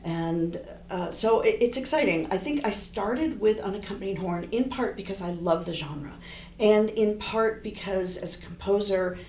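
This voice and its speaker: A middle-aged woman, a horn player and composer, talking in a relaxed, thoughtful interview and often restarting her sentences. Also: reverberant, slight background noise. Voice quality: low voice. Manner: thoughtful, rambling, frequent restarts.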